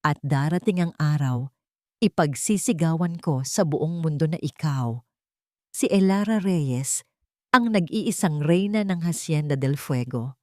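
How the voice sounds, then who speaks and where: A woman's voice: low-pitched, animated, unhurried, no echo, studio quality. Woman voicing an ardent young male lover. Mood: ardent reassurance.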